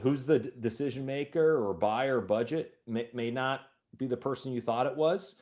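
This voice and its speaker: A man's voice: medium pitch, normal speed, a little mellow. A man speaking as a panel guest, giving casual business advice in short, emphatic phrases.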